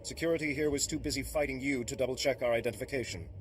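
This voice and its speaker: An actor playing a proud, righteous resistance fighter in a video game, declamatory and accusing. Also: male voice, medium pitch, racing, a little hiss or hum, close to an everyday voice. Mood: defiant.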